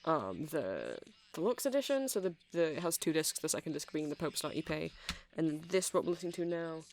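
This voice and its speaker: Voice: mid-range voice, sing-song, fairly quick, a little hiss or hum. A performer playing a nerdy, earnest vampire who sells music in a shop, in a hesitant, rambling ASMR roleplay full of self-corrections. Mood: chatty, slightly flustered. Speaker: shop seller.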